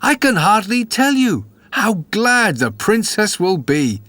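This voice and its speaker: A man voicing a foolish, excitable young man, giddy and boastful, in a storybook reading. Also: high-pitched, slow pace, no echo, pitched above the performer's own voice, exaggerated voice. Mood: pleased. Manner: giddy, shouting, sing-song.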